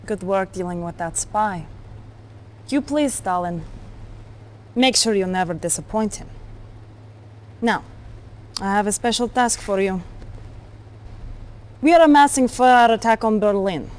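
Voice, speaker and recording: A woman plays a commanding Soviet intelligence officer in a game cutscene. Her voice sits at a medium pitch, with a cold, clipped, controlled delivery. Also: a little slow, long pauses, darkish tone, a little hiss or hum, lightly acted. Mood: commanding, calculating. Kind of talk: video game cutscene acting.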